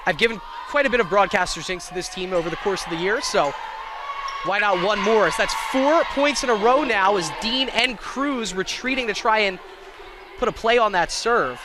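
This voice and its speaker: A man calling live college volleyball play-by-play in an excitable, self-deprecating way. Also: sits high, normal speed. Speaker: play-by-play sports commentator. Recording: clear gym noise, bouncing balls. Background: indoor volleyball match ambience.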